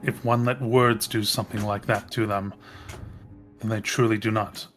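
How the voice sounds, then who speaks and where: A man's voice: lowish voice, steady tone, fairly bright tone, soft background music, lightly acted. Man playing a solemn, loyal warrior servant. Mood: solemn, devoted. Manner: formal, solemn, deliberate. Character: stoic, loyal warrior servant in royal service.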